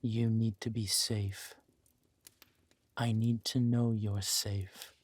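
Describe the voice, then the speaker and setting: A man playing a menacing, possessive villain warlord and protective lover in a scripted roleplay audio drama, speaking deliberately and commandingly, dropping into breathy murmurs. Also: lowish voice. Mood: earnest, protective.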